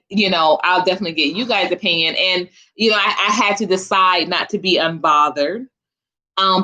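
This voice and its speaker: A woman's voice: on the low side, bright, forceful tone. A woman in her forties hosting a live stream, chatting casually and informally with her viewers as if among girlfriends. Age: 40s. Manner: chatty and informal, addresses viewers as sis and honey. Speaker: host of a live chat stream.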